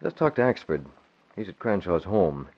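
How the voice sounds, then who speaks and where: An actor playing a confident young publisher and secret crime-fighter, calm and commanding, in old-time radio drama style. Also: male voice, sits low, moderate pace, full character voice. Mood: calm, determined. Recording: muffled old radio broadcast.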